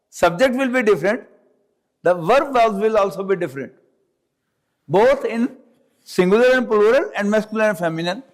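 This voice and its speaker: A male teacher lectures on grammar in English that is not his first language. His voice sits high, and he speaks at an unhurried pace. He repeats each point and keeps asking whether the class understands. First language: not English; Urdu is his second language. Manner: slow, deliberate, repeats points and checks understanding. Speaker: Arabic teacher.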